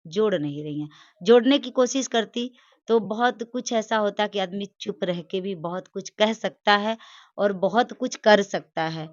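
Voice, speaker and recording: An opinionated woman talks as an interview guest at a medium pitch. She is emphatic and repeats phrases to press her point. The sound is very dry and a bit dull. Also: no room sound. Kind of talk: conversational interview commentary.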